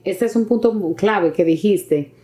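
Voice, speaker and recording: A woman's voice: mid-range voice, a little slow, mellow. A woman hosting an interview program, speaking off the cuff and often searching for words. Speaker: talk show host. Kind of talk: unscripted interviewing.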